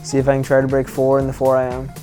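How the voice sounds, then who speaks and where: A man's voice: mid-range voice, completely flat delivery, fast, mellow, soft background music. A young man from Idaho, a college swimmer, answering interview questions casually. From Idaho, United States. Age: college freshman, about 18.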